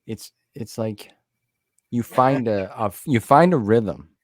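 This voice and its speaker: A man's voice: mid-range voice, a little slow, no echo, studio quality. An American man in his forties hosting a casual interview in an effusive, folksy, enthusiastic way. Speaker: podcast host and interviewer.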